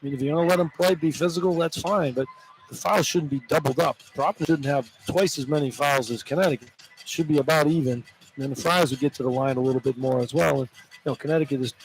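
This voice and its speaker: Man, fairly quick, slightly high voice, informal repetitive sports analysis, radio color commentator, faint arena noise. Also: rough recording. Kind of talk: conversational live sports analysis. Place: inside a basketball arena, broadcasting live.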